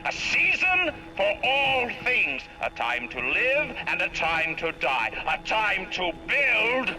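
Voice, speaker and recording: An actor playing a proud, imperious older city founder in a video game, speaking in a grand, oratorical and commanding way. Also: male voice, high voice, very animated, slow, sharp tone, slight background noise, heavily acted, rough recording. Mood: bitter, brooding. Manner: lofty, commanding, mocking. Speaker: game character. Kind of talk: video game voice acting, audio diary monologue. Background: orchestral game score.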